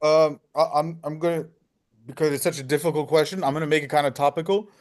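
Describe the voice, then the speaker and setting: Male film director in interview, higher-pitched voice, emphatic, casual, slangy delivery. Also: fast. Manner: emphatic, animated slangy English with "like" often.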